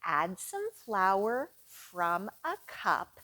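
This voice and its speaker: A woman hosting a children's storytime as a librarian, reading aloud in a sing-song, deliberate way for young listeners. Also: medium pitch, big pitch swings, bright, forceful tone.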